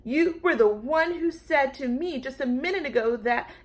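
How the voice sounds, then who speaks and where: A woman's voice: sits high, animated, normal speed, pitched above the performer's own voice. A woman voicing a bossy, snippy little girl character from a children's storybook, speaking emphatically.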